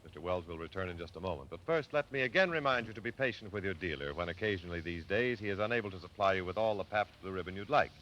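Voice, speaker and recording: A man's voice: sits low, animated, racing, hardly any pauses, fairly bright tone. A man working as a polished radio announcer, delivering a salesmanlike 1940s sponsor message. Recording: dull vintage radio recording, faint noise.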